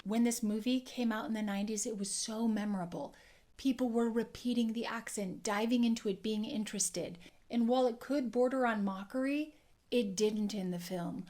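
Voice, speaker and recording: A woman dialect coach teaching an accent lesson, explaining clearly and demonstrating sounds. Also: on the high side, normal speed, warm tone.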